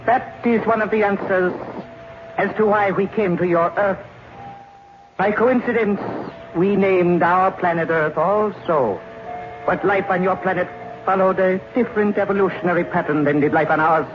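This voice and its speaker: An actor playing a cold alien invader posing as a man, speaking formally and deliberately in an old radio drama. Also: high-pitched, natural voice. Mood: cold, explanatory. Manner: formal, deliberate speech without contractions. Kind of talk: 1950s radio drama acting. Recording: noisy, muffled old radio recording.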